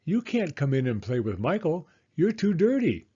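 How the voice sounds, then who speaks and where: An indignant storybook mother, acted by a man, scolding in drawn-out exclamations. The voice is pushed well up in pitch and swoops expressively. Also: very animated, dark tone, breathy edge, muffled recording.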